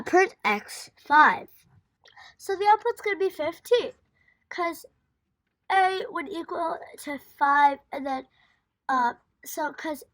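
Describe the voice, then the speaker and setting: A woman's voice: very high voice, no room sound, rough recording. A woman reading through a programming tutorial, talking through code examples with fillers and self-corrections. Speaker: coding tutor.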